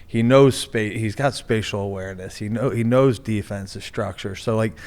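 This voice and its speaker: A man's voice: on the low side, moderate pace, darkish tone. A man being interviewed as a sports team's general manager, talking casually with many fillers and restarts. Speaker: NFL general manager, guest.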